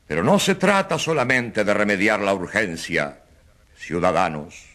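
Deep, slow, grandiose male governor. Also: animated, a bit bright. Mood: solemn, stirring. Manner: solemn, grandiose, pausing speech-making. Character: self-assured provincial governor, grand populist orator. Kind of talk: political address in a radio drama.

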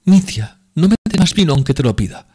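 A man voicing a drunk, impassioned young woman in an audiobook, speaking in a raised, breathy, pleading tone. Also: fairly high pitch, sing-song, fairly quick, a little mellow, voice pushed up. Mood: giddy. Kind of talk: audiobook character reading.

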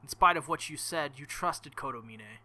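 Man doing a panicked teen boy hero from a visual novel; urgent read, bright tone. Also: medium pitch, lively intonation, normal speed.

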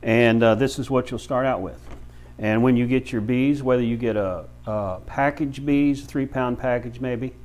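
A man in his fifties giving an informal how-to demonstration in a plain, folksy, halting way, as a practical instructor. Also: mid-range voice, a little mellow. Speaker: beekeeper and bee farm shop owner, instructor. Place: small brick-walled room with many windows, indoors.

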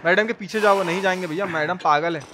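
Male live-stream gamer, Bihari-style Hindi accent, high pitch, colloquial and full of exclamations, noisy recording. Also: quick pace. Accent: eastern Hindi belt, Bihari-style. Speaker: game streamer. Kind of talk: live gameplay commentary, casual chat.

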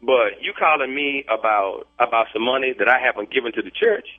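A man's voice: mid-range voice, a little fast. A man in an unscripted phone conversation, protesting and repeating himself over a thin phone line. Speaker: prank call target. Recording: tinny, band-limited phone line.

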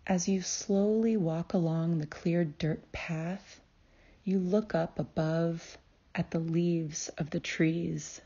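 A woman narrating a guided meditation in a calm, soothing way, with deliberate phrasing and long pauses. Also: fairly low pitch, breathy edge, dull audio. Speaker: guided meditation host and healer.

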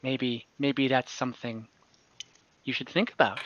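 A man playing a scheming adventurer who recruits warriors, speaking earnestly and deliberately in tabletop roleplay. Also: mid-range voice, level tone, a bit bright, natural voice. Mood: sly, coaxing. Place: room at home, on a group video call. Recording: dull online-call audio.

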